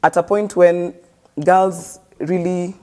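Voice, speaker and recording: A woman's voice: lowish voice, flat delivery, takes it slowly, warm tone. A Kenyan woman, a guest in an interview, telling her life story earnestly and switching between English and street slang.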